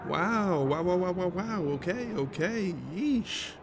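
A man's voice: high voice, animated, slow pace, noisy background, exaggerated voice. An actor playing a sleazy man who pesters women at a bowling alley, in an indie audio drama. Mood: defensive. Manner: leering, pushy, then backs off.

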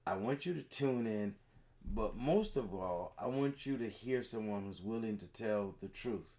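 Low-voiced man with preacherly emphasis. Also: animated, mellow. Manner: deliberate, emphatic, repeats phrases. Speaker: host introducing a guest. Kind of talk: preacherly introduction and affirmations.

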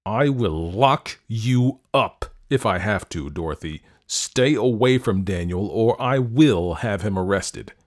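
A man's voice: deep voice, moderate pace, hardly any pauses, slightly rough. A man voicing a stern, angry father in an audiobook, pounding out his words emphatically. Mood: threatening. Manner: pounding each word separately, emphatic. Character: angry, stern, forbidding father of a teenage girl. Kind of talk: audiobook character acting.

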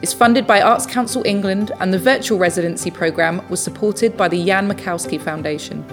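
Woman, co-host, scripted read, mid pitch, soft music faintly behind. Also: a little fast. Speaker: podcast co-host. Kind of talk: scripted announcements.

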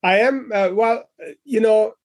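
Hungarian-accented man, fairly high voice. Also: unhurried. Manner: thoughtful, many fillers and restarts. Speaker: guest, leadership coach and author. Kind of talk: conversational interview answer.

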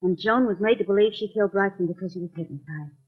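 Woman playing a coy, husky radio sweetheart. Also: mid-range voice, fast talker, lightly acted. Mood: coy, playful. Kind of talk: 1940s radio drama acting. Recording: tinny, band-limited 1940s radio recording.

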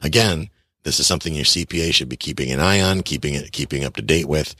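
A man's voice: extremely low pitch, fast, bright, forceful tone, slightly rough, no echo, studio quality. A man in his fifties hosting a podcast, giving blunt, chatty advice on real estate and taxes straight to his listeners. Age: 50s. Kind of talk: casual advice monologue.

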